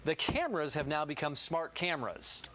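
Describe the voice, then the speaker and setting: A man's voice: on the high side, big pitch swings, moderate pace, sharp tone, slight background noise. A man hosting a morning talk show, interviewing in an upbeat presenter style.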